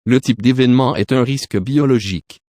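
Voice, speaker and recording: A man's voice: mid-range voice, fast, darkish tone, dry recording. Dry-sounding formal male alert announcer. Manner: formal, impersonal. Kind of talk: scripted emergency broadcast announcement.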